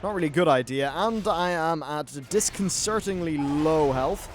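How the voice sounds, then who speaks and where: A man's voice: a little high, normal speed, a little hiss or hum. A man giving casual, informal let's-play commentary on an online fantasy game, mildly sweary as he reacts to what unfolds. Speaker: gaming YouTuber.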